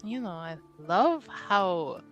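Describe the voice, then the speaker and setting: A woman plays a tabletop roleplaying game, chatting and improvising casually. Her voice is bright and mid-pitched, her manner giggly and animated, over quiet background music. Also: slow pace, muffled recording.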